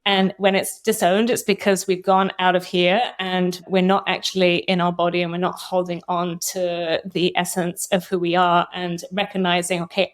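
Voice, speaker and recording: A woman in her fifties speaking as a therapist guest, explaining and guiding an exercise in a measured, instructive way. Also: lowish voice. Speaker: guest psychotherapist and trauma coach. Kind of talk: explanatory interview talk with guided exercise.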